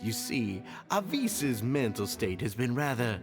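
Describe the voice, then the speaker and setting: A man's voice: mid-range voice, big pitch swings, a little slow, music in the background. A theatrical, swooping, sinister henchman. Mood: feigned concern. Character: smooth-talking sinister male cult henchman feigning courtesy. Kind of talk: scripted video game voice acting.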